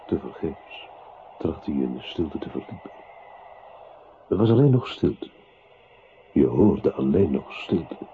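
An actor playing a narrating voice in a literary radio play that recounts a composer's decline into silence. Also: male voice, a bass voice, animated, slow pace, pauses often, full character voice. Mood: grave. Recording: muffled, noisy old radio recording.

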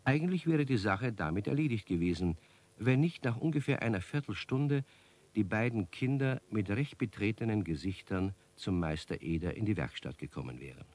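A man as a calm, understanding storyteller, giving scripted narration in a 1970s children's radio play. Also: on the low side, moderate pace. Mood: measured.

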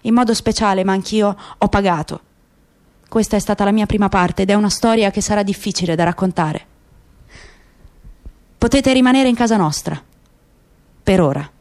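A woman's voice: mid-range voice, normal speed, long pauses, darkish tone, natural voice. Woman voicing solemn, proud, disillusioned father. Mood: grave resignation.

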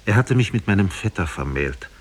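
Man voicing a lonely captive princess, husky and lifted. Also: low-pitched, very animated, fairly quick, full character voice. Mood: earnest, sad. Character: lonely captive young princess, courteous and sad. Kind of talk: 1950s German radio drama storytelling. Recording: 1950s radio play from cassette.

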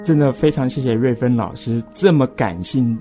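A man's voice: medium pitch, expressive, normal speed. A man with a Taiwanese Mandarin accent hosting a radio interview, polite and appreciative. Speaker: radio host. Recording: soft music under the voice. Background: background music.

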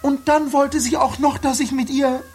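A woman's voice: high voice, steady tone, moderate pace, a little mellow, faint music behind. A performer in a radio play as an indignant man complaining that he was seduced, aggrieved and self-righteous.

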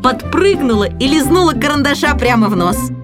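A female narrator reads a children's tale expressively. Her voice is fairly high and moves a lot in pitch, in the style of a scripted audiobook. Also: brisk, music in the background. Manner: expressive, storytelling.

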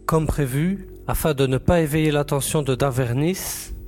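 A man's voice: a little high, normal speed, a little mellow, natural voice. Matter-of-fact male sci-fi colleague, played by an actor, dry and composed, faint music behind. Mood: businesslike. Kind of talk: audio drama acting.

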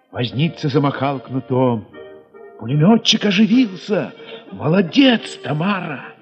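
An actor playing a war-weary, tender dance ensemble leader recalling besieged children in an emotive, measured audio-play monologue, with a husky voice. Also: male voice, mid-range voice, in a large hall, muffled recording. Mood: stirred, exultant. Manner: measured, emotive, with dramatic swells and hushed drops.

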